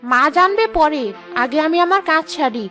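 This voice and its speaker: A woman's voice: high voice, animated, normal speed, fairly bright tone, faint music behind. A woman voicing a gloating, bloodthirsty witch queen of the forest in a cartoon fairy tale, making menacing threats.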